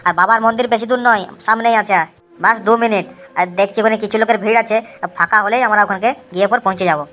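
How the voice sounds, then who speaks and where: A man's voice: high voice, steady tone, quick pace, sharp tone. A young male cartoon pilgrim, voiced by a man, weary but devout and chatty. He rattles along, circling back over his words. Music plays faintly behind him. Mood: tired, determined. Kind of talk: amateur cartoon dialogue, vlog-like.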